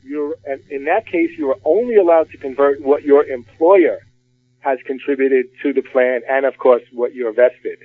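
A man, a retirement plan expert, explaining rules in a measured way on a radio finance discussion. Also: mid-range voice, no room sound. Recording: phone-like narrow band.

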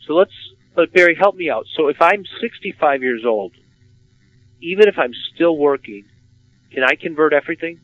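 A man's voice: on the high side, lively intonation, moderate pace, no echo. A man hosting a radio finance discussion, asking questions and often repeating words as he starts. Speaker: radio host. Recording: dull lo-fi radio sound.

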